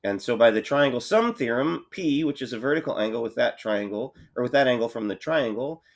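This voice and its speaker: A male math teacher with a cold and a congested voice, talking his students through problems in a relaxed way, thinking aloud with many fillers. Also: mid-range voice, brisk. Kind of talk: recorded lesson walkthrough.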